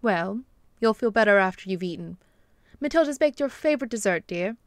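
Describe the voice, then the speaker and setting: An actress playing a kindly, long-suffering bishop's wife in an audio drama, gentle and solicitous. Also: female voice, medium pitch, animated, fairly quick, dry recording, studio quality, lightly acted. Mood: concerned. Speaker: actor. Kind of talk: radio-play style drama acting.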